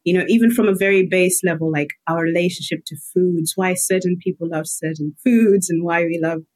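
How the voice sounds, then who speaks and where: A woman from Malawi, a guest health psychologist who thinks aloud with pauses as she answers about herself. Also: fairly low pitch, steady tone, darkish tone. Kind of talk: reflective personal interview answers.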